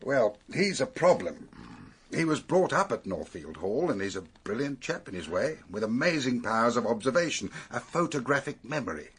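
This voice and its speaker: An actor playing an authoritative, wry police superintendent in a British radio drama, setting out facts in an orderly, emphatic way with a British accent. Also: male voice, mid-range voice, big pitch swings, slightly rough. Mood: wry, explanatory. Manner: measured, emphatic, expository.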